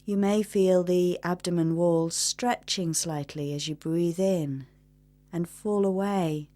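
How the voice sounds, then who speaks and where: Slow, low-voiced woman guiding meditation. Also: steady tone. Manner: gentle, measured, with long pauses. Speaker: meditation guide. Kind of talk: guided meditation, slow instruction.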